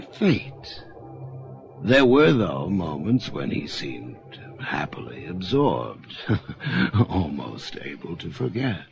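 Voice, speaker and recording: An actor playing a grave, ominous narrator of a supernatural tale in a scripted radio drama. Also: male voice, low-pitched, vocal fry, exaggerated voice. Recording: muffled lo-fi radio drama over music.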